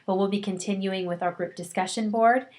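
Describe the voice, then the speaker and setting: A middle-aged woman records a lecture for her counseling students. She speaks at an ordinary pitch and keeps a warm, encouraging, conversational tone. She often pauses on an um and restarts her sentences. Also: steady tone. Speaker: counseling instructor.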